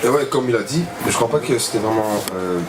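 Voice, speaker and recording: A man speaking casually in an interview, animated and repeating words as he makes his point. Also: medium pitch, fast, far from the mic, very noisy.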